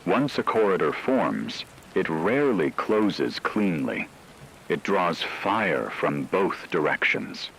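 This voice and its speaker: Deep, grave radio news anchor played by a man. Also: expressive, creaky, fairly bright tone, a little hiss or hum, exaggerated voice, poor audio. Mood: grave, urgent. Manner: measured, solemn, formal delivery. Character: grave male radio anchor reporting a looming war. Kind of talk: scripted fictional emergency radio broadcast.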